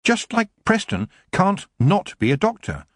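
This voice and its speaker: An earnest young woman witch as a male narrator acts her out in a storybook. The voice is raised above a man's normal pitch and very lively in its rise and fall, frank and determined. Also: moderate pace, husky, no echo, studio quality, heavily acted. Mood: upset. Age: young adult. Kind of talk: audiobook character voice.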